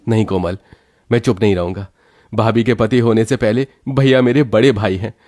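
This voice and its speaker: A man's voice: on the low side, steady tone, normal speed, darkish tone, natural voice. A man as an earnest, troubled younger brother. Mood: troubled, determined. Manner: earnest, emphatic. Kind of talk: Hindi cartoon story acting.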